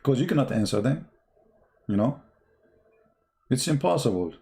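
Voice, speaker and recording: Gravelly man with an Arabic accent. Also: mid-range voice, very animated, warm tone. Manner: blunt, combative, halting non-native English. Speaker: livestream host, religious polemicist. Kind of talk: casual livestream monologue answering chat comments.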